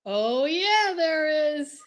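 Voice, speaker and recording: A woman's voice: high-pitched, sing-song, very slow, full character voice. A woman playing a dim, cheeky male comic sidekick in an old-time radio comedy sketch, delivering silly punchlines eagerly.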